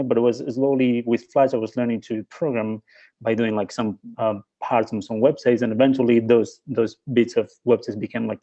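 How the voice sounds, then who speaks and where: Hesitant man with a mellow voice. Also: mid-range voice, dull audio. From Spain. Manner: hesitant, many fillers and restarts. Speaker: interview guest. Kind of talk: casual interview.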